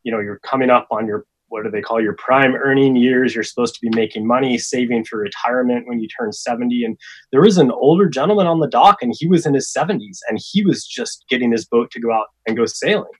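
A man, a guest who lives on a sailboat, telling stories in a relaxed, chatty way. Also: mid-range voice, fast talker, breathy edge, rough recording. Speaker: guest, sailor living on a boat. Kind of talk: casual storytelling.

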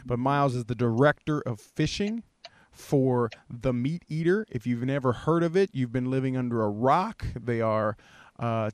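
A man's voice: mid-range voice, smooth. A man hosting a podcast in a casual, conversational style, introducing the show and his guest. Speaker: podcast host.

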